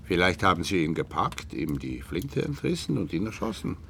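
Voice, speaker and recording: Deep-voiced, dry male investigator. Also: very animated, moderate pace, vocal fry, noisy background, heavily acted. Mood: sceptical. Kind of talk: German radio drama acting.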